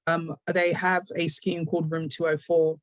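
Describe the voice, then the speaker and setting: A woman's voice: low-pitched, flat delivery, normal speed, dry recording. A British woman speaking casually as an interview guest, a little hesitant and self-deprecating.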